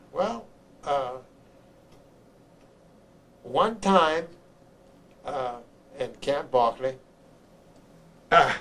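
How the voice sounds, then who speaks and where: An older man from Honduras, an army veteran, recounts his memories haltingly, repeating words as he searches for the next one. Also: medium pitch. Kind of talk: oral history recollection. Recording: clear background noise, room ambience.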